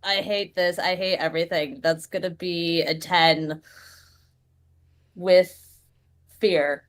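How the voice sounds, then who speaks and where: A woman's voice: on the low side, fairly even intonation, slow. A woman playing a tabletop game, chatting casually in a blunt, exasperated manner.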